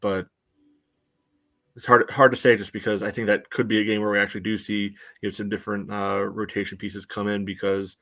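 A man co-hosting a soccer podcast, giving casual analysis in a measured, halting way full of verbal padding. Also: lowish voice, level tone, a bit bright. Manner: hesitant, measured, frequent "you know" hedging.

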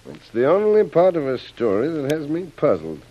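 An actor playing a brash, teasing island boss with blunt, slangy talk in an old radio serial. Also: male voice, a little high, huge pitch swings, moderate pace. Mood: puzzled. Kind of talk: 1930s radio serial acting. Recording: muffled old radio recording.

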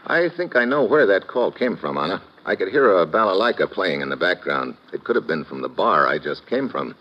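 An actor playing a hard-boiled male detective who narrates his own case, talking in a clipped, wry and steady 1940s radio-drama manner. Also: deep voice, brisk. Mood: curious. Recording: dull old radio broadcast with music score.

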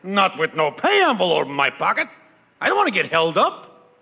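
An actor playing a wary, wisecracking working-class buddy in a 1940s radio comedy, talking slang. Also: male voice, high voice, wildly swooping pitch, moderate pace, bright, forceful tone, husky, exaggerated voice. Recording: noisy, echoing, dull old radio recording.

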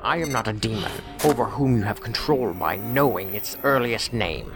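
An actor playing a calm, menacing man who speaks in measured, philosophical riddles, in an audio drama. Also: on the low side, vocal fry, music in the background.

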